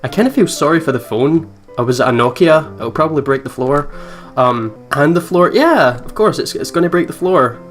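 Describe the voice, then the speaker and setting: A man's voice: on the high side, lively intonation, brisk, hardly any pauses. A man hosting a let's play, reading a visual novel aloud and dropping in informal, sweary reactions. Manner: informal, giggly, sweary asides. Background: game's guitar music.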